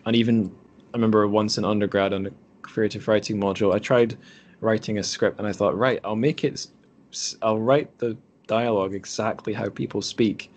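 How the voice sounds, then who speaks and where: A man's voice: lowish voice, darkish tone, slight background noise. A man hosting a casual podcast conversation, thinking aloud a little hesitantly. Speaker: podcast host.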